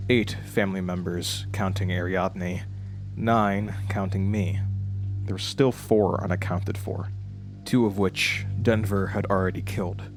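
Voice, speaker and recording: A man with a fairly low voice reads scripted horror narration. He serves as the storyteller of an audio drama, with music clearly playing behind him.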